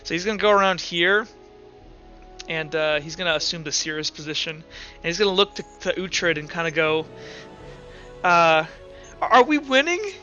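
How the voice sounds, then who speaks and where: A man with a fairly high, very bright voice runs a tabletop game in loose, improvised narration. The recording is a little dull and lo-fi, with faint music behind him. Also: fairly even intonation, fairly quick. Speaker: game master.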